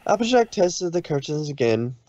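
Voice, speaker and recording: A man's voice: a little high, big pitch swings, normal speed, a little mellow, dry recording, dull audio. A man narrating a fan fiction story aloud in an informal read-through.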